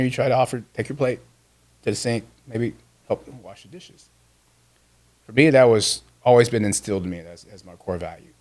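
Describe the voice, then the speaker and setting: Earnest Vietnamese-born man, low voice. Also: darkish tone. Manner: earnest, with frequent restarts. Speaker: guest. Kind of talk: reflective personal storytelling.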